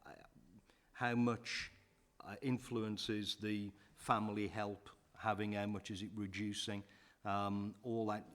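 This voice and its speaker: A man with a slightly low voice chairs a council committee from a table microphone. His speech halts often, full of fillers and restarts as he gathers his thoughts. The meeting room sound is plain and unadorned. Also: fairly bright tone. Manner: halting, with frequent fillers and restarts.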